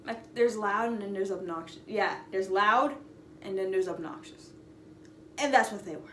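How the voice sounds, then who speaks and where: A young woman casually telling an anecdote in a chatty, animated, sarcastic way, stretching words for emphasis. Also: medium pitch, normal speed. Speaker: storytime vlogger. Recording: roomy echo, noticeable background noise.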